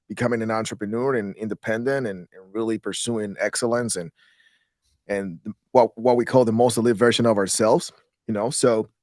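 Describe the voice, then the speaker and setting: Guatemalan-born guy in his 30s, lowish voice, rambles and restarts a lot, dry mic. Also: no echo. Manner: rambling, many restarts and fillers. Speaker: guest, real estate investor. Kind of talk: podcast interview.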